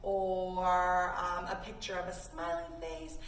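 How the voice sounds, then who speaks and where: A woman hosting a kids' yoga lesson, giving casual, encouraging instructions in an animated way made for young children. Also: fairly low pitch, slow pace, soft background music. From Canada. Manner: animated, child-directed, addresses listeners as friends. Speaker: children's yoga teacher and host. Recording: roomy echo, distant mic, faint noise.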